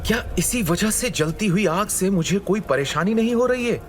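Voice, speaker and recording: A man's voice: sits high, animated, normal speed. A man playing a trapped heroic young man in an audio drama, speaking his inner thoughts in a formal, dramatic way. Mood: bewildered wonder. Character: trapped heroic young man, despairing yet determined, bewildered. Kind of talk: Hindi audio drama character monologue.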